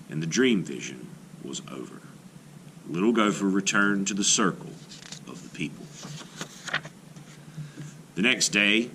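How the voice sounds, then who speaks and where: Low-voiced guy reading a storybook aloud, real slow and expressive, some noise in the background. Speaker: art teacher reading aloud. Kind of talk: storybook read-aloud narration.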